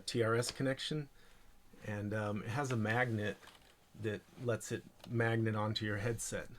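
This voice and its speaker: A man presenting an informal hands-on tech tutorial, speaking haltingly as he thinks aloud while handling gear. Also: medium pitch, slight background noise.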